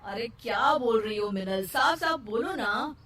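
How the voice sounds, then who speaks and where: A woman's voice: fairly high pitch, expressive, measured pace, fairly bright tone. A woman voicing a puzzled, mildly impatient young husband in a cartoon story. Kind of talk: cartoon moral story acting. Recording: roomy, distant mic, faint noise.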